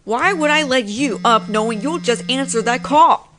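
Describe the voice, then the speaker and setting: Brief: woman, slightly high voice, close mic, roleplay as a possessive roommate, insistent and teasing. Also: moderate pace, fairly bright tone, faint music behind. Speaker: voice actress playing a possessive roommate. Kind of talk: scripted one-sided audio roleplay.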